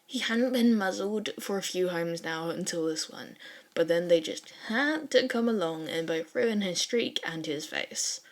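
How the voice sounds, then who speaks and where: A woman reading fan fiction aloud as an expressive amateur narrator, with casual swearing. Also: on the low side, a little slow.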